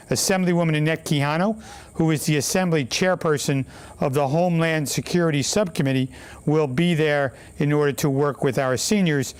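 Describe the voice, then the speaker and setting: A man, a city mayor, hosting a local cable talk show, reading announcements in a measured, formal way and chatting with a guest. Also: a little high.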